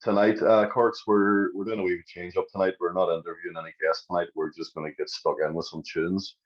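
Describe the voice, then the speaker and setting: A man's voice: deep voice, flat delivery, fairly quick, dull audio. A man with a Northern Irish accent co-hosting a podcast, chatting casually and emphatically, often repeating words. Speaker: podcast co-host. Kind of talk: casual chat.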